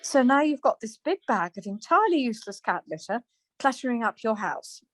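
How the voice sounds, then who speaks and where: A woman playing a brisk, plain-spoken, chatty wife in a radio play, chiding and questioning. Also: a little high, sing-song, a bit bright, dry recording. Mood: incredulous.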